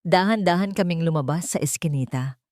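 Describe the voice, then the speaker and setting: A woman's voice: on the low side, a little fast, a little mellow, no room sound, clean studio sound. A woman reading a scripted, dramatic first-person audio story as its narrator.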